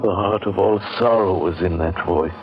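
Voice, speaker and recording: An actor playing a haunted, earnest man narrating an old-time radio drama with measured, brooding, halting delivery. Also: sits low, faint music behind, natural voice. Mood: brooding, entranced. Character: haunted, earnest male narrator resolved to destroy a pagan grove. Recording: dull, band-limited vintage radio recording.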